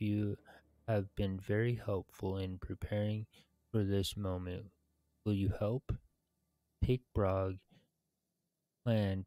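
A man in his thirties reading game quest text aloud in measured phrases, with short pauses and some casual narration. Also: lowish voice, mellow, dry recording. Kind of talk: scripted read-aloud with casual gameplay narration.

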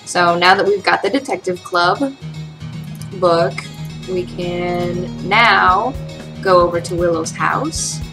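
A young woman hosts a casual game-making tutorial. She talks through her steps in an informal way from a room at home. Music plays clearly behind her voice. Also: lowish voice, takes it slowly. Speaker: tutorial host, game developer. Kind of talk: casual screen-recorded software tutorial with read-out dialogue. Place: small home room, indoors. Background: background music.

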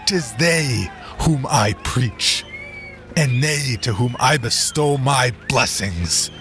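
A man voicing a fiery frontier preacher who rants and booms scripture at a crowd. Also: slow, faint music behind, lightly acted. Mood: zealous. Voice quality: booming, ranting. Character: fiery fire-and-brimstone male preacher.

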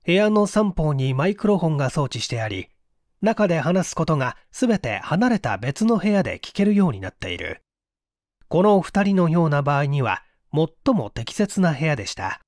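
A male narrator reads a mystery story with animated, varied intonation. His voice sits a little high for a man, and the sound is dry and close, as a scripted audiobook reading. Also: normal speed, dry recording. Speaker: audiobook reader, stage actor.

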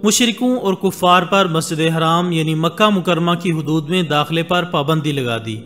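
A man reading a scripted documentary voice-over in formal Urdu, measured and steady, with Arabic religious phrases woven in. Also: a little high. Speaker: documentary narrator. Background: soft background music.

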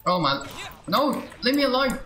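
Bright-voiced streamer narrating gameplay, roomy sound. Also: mid-range voice, moderate pace, soft background music. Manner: excitable, muttered repeats and exclamations. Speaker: game streamer. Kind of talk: casual live gameplay commentary.

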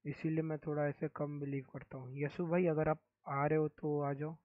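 A young man from India chats softly on his game stream, his throat sore and hoarse. His slightly high voice stays flat and even. The sound is thin and tinny, like a phone line, with no room echo. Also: normal speed. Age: young adult. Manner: speaks softly, mic-checking. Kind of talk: casual live-stream chat.

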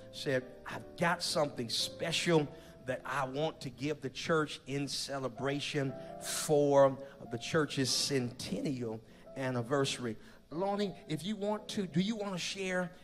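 A middle-aged American man, a pastor addressing his congregation with drawn-out, emphatic exhortation. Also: medium pitch. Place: large church sanctuary. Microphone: handheld or podium microphone.

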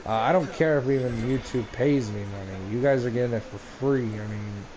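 A man's voice: mid-range voice, fairly quick, crowd noise. A man from the United States giving casual, rambling let's play commentary into a gaming headset. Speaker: gamer recording a let's play. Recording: noisy headset mic, game ambience. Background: video game ambience.

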